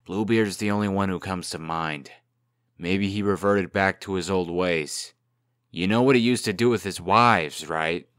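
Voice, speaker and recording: A man's voice: deep voice, a little slow, fairly bright tone, smooth, no echo, studio quality. A man playing a cocky, glib and defensive young fairy-tale trickster in a comic dub drama. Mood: evasive, insistent.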